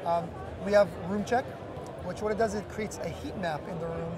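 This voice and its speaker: A man's voice: sits high, steady tone, moderate pace, a crowd in the background. A man in his thirties explaining a product as an executive being interviewed at a busy trade show booth. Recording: loud busy trade show floor noise.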